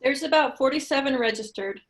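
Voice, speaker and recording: A woman's voice: sits high, brisk. A woman staff member who monitors questions, giving short, helpful replies. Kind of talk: casual workplace chat.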